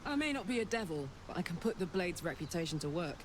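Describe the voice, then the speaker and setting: A woman's voice: on the low side, very animated, fast. A woman playing a brash, battle-hardened warrior on the run from devils in a video game, with a blunt, cocky, sweary manner. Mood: confident. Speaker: video game companion character. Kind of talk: dramatic video game voice acting. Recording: game audio with clear background noise.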